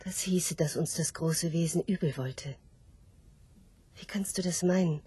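A philosophical sow in a radio play. Her voice is lowish and very husky, full of breath. She speaks in a solemn, measured way, with quiet hope. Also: soft background music. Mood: hopeful, pondering. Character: hopeful philosophical sow awaiting her disappearance. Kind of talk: literary radio drama acting.

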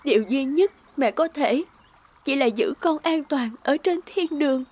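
A high female voice over loud music: a woman acting a devoted mother heavy with grief for her sick child. She speaks tenderly and pleadingly, as in a sentimental cartoon. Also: animated, a little slow. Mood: tender sorrow. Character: loving, grieving mother of a dying boy. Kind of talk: cartoon drama dub. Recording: loud music bed behind the voice.

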